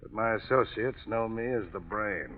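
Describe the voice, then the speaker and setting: An actor playing a scheming crime boss disguised as a respectable citizen, fussy and then coldly menacing. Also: male voice, fairly low pitch, moderate pace, natural voice. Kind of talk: 1940s radio acting. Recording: tinny vintage radio broadcast.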